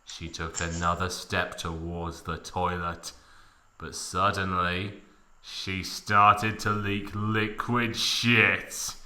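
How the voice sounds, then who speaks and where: A man hosting a mock-dramatic story reading, narrating theatrically and breaking off for joking asides. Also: lowish voice. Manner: theatrical, animated. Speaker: host, story reader. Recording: echoey room.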